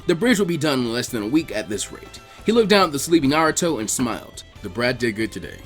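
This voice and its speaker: Fast, lively man narrating a story. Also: fairly high pitch, soft background music. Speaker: fan fiction reader, narrator. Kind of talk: scripted story narration, audiobook-like.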